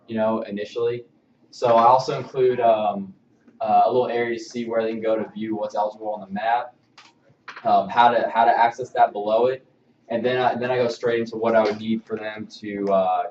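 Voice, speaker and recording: Halting male trainer in a roomy space. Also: on the low side, a little mellow, a little hiss or hum. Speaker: mortgage loan officer giving staff training. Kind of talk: informal presentation of email templates. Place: indoor training room with projection screen. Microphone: far camera microphone. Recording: distant, roomy, slightly dull mic.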